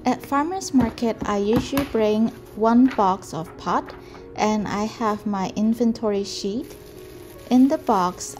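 A woman in her thirties, a potter, calmly explaining her market packing in a gentle vlog narration. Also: medium pitch. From Canada. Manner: slow, gentle, careful delivery. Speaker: potter vlogger. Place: home room indoors. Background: background music.

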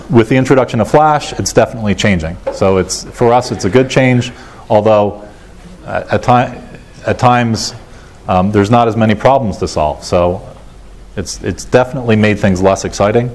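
A middle-aged American man giving a technical conference talk in a measured, matter-of-fact way, pausing often as he goes. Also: lowish voice, darkish tone, a little hiss or hum. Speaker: conference keynote speaker. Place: large lecture auditorium, indoors. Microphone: lapel mic.

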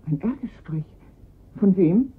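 An actress playing the anxious, tearful mother of a kidnapped boy in a radio crime drama, halting and emotional. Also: mid-range voice, lively intonation, a little hiss or hum. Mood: tense, impatient. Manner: halting, emotional, repeating herself. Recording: muffled, band-limited radio recording.

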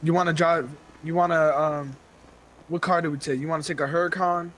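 A man's voice: a little high, steady tone, a little fast, a bit bright. Slangy man chatting over faint game noise. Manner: slangy, profane. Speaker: gaming video creator playing online roleplay. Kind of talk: casual in-game chat.

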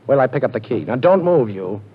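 An American man playing a tough, quick-witted amateur sleuth in a 1940s radio drama. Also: medium pitch, big pitch swings, background noise, lightly acted. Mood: commanding, cocky. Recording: muffled 1940s radio broadcast.

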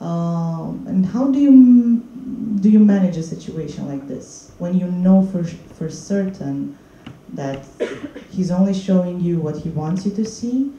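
A woman's voice: lowish voice, measured pace, hardly any pauses, dark tone. A woman moderating an on-stage talk after a screening, asking long, hesitant questions into a handheld mic. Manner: hesitant, many fillers and restarts. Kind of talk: stage Q&A interview. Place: stage of a screening hall, seated. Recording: muffled echoey hall, faint noise.

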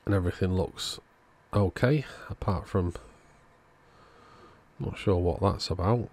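A man calmly narrating a radio repair at his workbench in a matter-of-fact way. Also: low voice, unhurried, breathy edge. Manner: relaxed, matter-of-fact commentary while working. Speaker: electronics repair channel host. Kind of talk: narrated bench repair tutorial. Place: workbench indoors.

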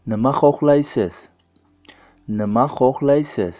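A man's voice: medium pitch, slow, dry recording, lo-fi sound, soft background music. Man with an Uzbek accent reading translations. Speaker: language course host and translator.

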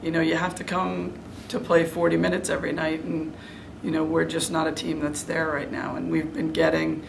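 Middle-aged female coach, postgame interview: heavy vocal fry, even delivery, frank and serious. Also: sits low, moderate pace, background noise. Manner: frank, self-critical, serious. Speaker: head basketball coach. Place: indoor room before a press backdrop.

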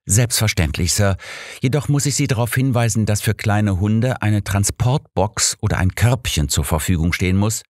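A man's voice: on the low side, moderate pace, darkish tone, dry recording, clean studio sound, voice pushed up, close to an everyday voice. A man voicing a polite, officious male train conductor in an audiobook. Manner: formal, courteous.

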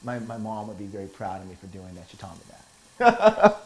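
A man's voice: on the low side, big pitch swings, moderate pace, low-quality recording. Earnest man explaining a cooking demo. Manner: earnest, upbeat, explains step by step. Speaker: fitness coach. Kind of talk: cooking demo talk to camera. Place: home kitchen, indoors.

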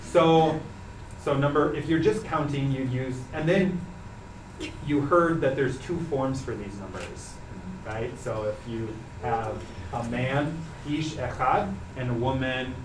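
A man's voice: mid-range voice, slow pace. Young guy teaching a class, lots of ums, far-off mic in a roomy space. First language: English. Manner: relaxed, explains patiently with many fillers. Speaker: Hebrew teacher. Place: carpeted classroom, students seated around. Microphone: far camera. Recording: echoey room from far off, noisy.

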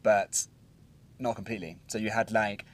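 Hesitant British man, low voice, outdoors. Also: fairly even intonation, moderate pace. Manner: rambling, hesitant with many ums and restarts. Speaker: travel vlogger. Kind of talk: casual travel recap chat. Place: outdoor hilltop viewpoint, seated on a bench.

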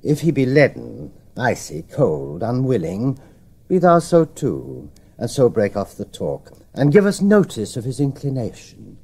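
Animated, conspiratorial nobleman. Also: mid-range voice, measured pace, vocal fry, husky, full character voice. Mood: conspiratorial, commanding. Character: smooth-talking, conspiratorial nobleman and courtier. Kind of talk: Shakespearean radio drama acting.

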